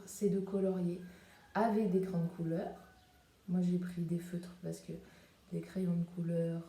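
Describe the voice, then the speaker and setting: A woman's voice: on the low side, mellow. A woman teaching an art lesson to young children from home, explaining each step as she paints and cuts. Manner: step-by-step demonstrating while crafting. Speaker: preschool teacher. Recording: echoey room, faint background noise.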